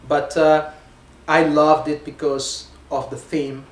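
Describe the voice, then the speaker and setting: A man in his thirties from Angola with an Angolan Portuguese accent, talking about himself and his work as an actor in an emphatic, deliberate manner. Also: a little high, little pitch movement. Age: 30s. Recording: roomy, distant mic, background noise.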